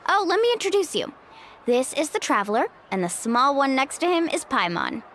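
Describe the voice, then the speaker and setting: A woman's voice: sits high, very animated, fairly quick, fairly bright tone, slight background noise, exaggerated voice. A woman playing a cheerful, breezy young local guide in a video game, with a bubbly, casual manner. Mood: upbeat. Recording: background music under the voice.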